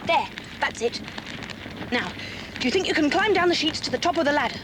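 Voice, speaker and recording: A woman with an English accent playing a brave, no-nonsense schoolgirl in a children's audio drama, brisk and commanding. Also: high-pitched, lively intonation, noisy background. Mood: firm, coaxing.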